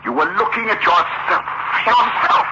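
A man's voice: high voice, normal speed, lightly acted. An actor playing a distraught, accusing man who also narrates a suspense tale, in melodramatic 1960s radio style on a noisy, muffled old recording. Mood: agitated accusing. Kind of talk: 1960s radio drama acting.

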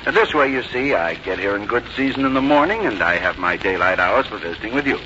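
A man's voice: on the low side, lively intonation, fast, sharp tone. An actor playing a middle-aged American businessman son, hearty, reassuring and coaxing, in a 1950s radio drama. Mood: hearty, affectionate. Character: cheerful, placating grown son, busy city businessman. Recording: muffled 1951 radio recording with hiss.

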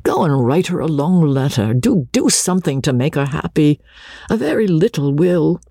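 Earnest, plain-spoken army captain, loyal older friend, for a classic storybook, read by a male narrator; husky, expressive. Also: fairly high pitch, normal speed, no room sound, clean studio sound, pitched above the performer's own voice, exaggerated voice. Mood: fond, pleading. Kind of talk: dramatized storybook reading.

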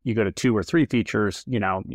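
A man hosting a marketing podcast, talking in a relaxed, chatty way with many fillers and restarts. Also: lowish voice, fairly quick, no room sound, studio quality. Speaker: podcast host. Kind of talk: casual interview chat.

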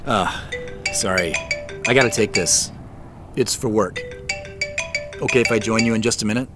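A man's voice: fairly low pitch, moderate pace, music in the background, lightly acted. An actor playing an uneasy, self-deprecating businessman in a naturalistic audio drama, talking hesitantly with small chuckles. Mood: apologetic, uneasy. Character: uneasy, wry businessman searching for answers.

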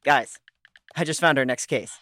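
A woman's voice: a bass voice, moderate pace, a bit bright. A woman playing an eager, bossy leader of a ghost-hunting crew in an audio drama.

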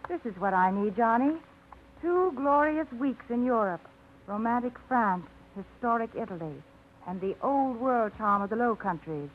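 A woman's voice: a little high, animated, normal speed, a little hiss or hum, close to an everyday voice. An actress playing a wry, independent young woman tired of routine, with a dry wit, in a 1950s radio drama. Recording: tinny old radio broadcast.

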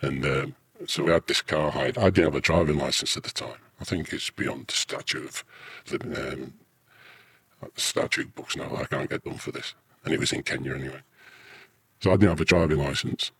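A man's voice: a bass voice, moderate pace, creaky, a bit bright, breathy edge. A British man, middle-aged or older, an army veteran telling rambling, earthy anecdotes casually in an interview. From United Kingdom.

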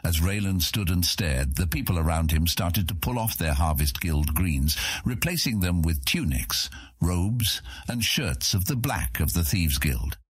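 Casting: male storyteller for fantasy audiobook, rumbling bass with a rough husk, close dry studio. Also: animated, unhurried, hardly any pauses, dry recording. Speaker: audiobook narrator. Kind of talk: scripted fantasy narration.

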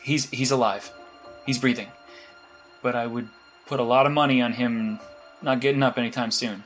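A man's voice: medium pitch, steady tone, normal speed, fairly bright tone, soft background music, lightly acted. A man playing a blunt, secretive vigilante strategist in an audio drama, clipped and matter-of-fact. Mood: measured.

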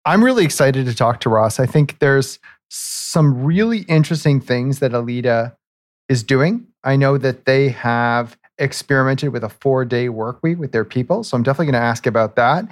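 A man from Canada hosting a business podcast. He talks in an enthusiastic, conversational way. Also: mid-range voice. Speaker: podcast host. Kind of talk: podcast interview.

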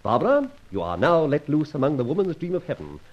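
An actor playing a suave gentleman adventurer in a radio drama, urbane and teasing, with a theatrically polite manner and a husky voice. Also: a little high, huge pitch swings, fast talker. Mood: mocking, playful. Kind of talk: old radio drama acting. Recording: dull vintage radio recording.

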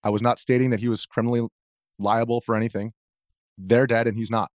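An American man speaking as an interview guest, earnestly explaining his perspective in halting conversational phrases. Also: medium pitch, flat delivery, a little mellow, no room sound, clean studio sound. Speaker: interview guest, video journalist.

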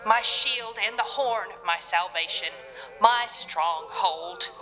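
A woman acts as a pious, sweet gospel wife from the American South. She speaks slowly and prophetically over prominent music, in a long reverberant space. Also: mid-range voice, natural voice. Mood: devout, ominous. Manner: slow, prophetic, homespun piety. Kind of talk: old-time gospel radio show.

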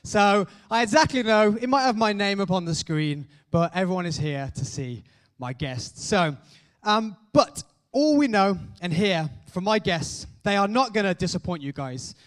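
A man hosting a live show, welcoming the audience from a stage and joking with the crowd in an excited way. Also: sits high, normal speed. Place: stage in a venue.

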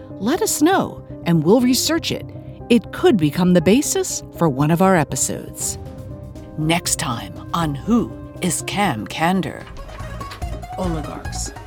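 A woman acting as a wry female podcast sleuth narrates a scripted mystery. Her voice sits a little low and moves with lively, dramatic inflection, building suspense over a music bed. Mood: earnest, then wry, ominous.

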